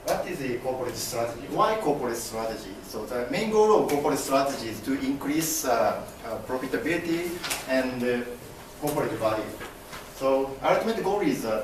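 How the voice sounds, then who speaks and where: A young man with a Japanese accent presents to his class, halting and restarting as he explains. His voice is picked up from across a very echoey classroom, with steady room noise underneath. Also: medium pitch, distant mic. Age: young adult. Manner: halting, many fillers and restarts, gestures broadly. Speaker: MBA student presenter. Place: classroom with projector screen. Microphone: far camera.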